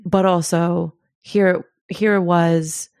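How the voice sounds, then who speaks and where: A middle-aged woman with a fairly low voice and a noticeable creak. She tells personal stories in a thoughtful, expressive, informal way, as a guest in conversation. The sound is dry and close. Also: drawn-out words, dry recording. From born in Zimbabwe. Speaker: interview guest. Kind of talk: reflective personal storytelling in casual conversation.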